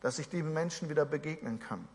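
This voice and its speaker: Earnest German pastor in a reverberant hall. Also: male voice, fairly high pitch, fairly quick. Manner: earnest, conversational preaching with asides to the congregation. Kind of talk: sermon.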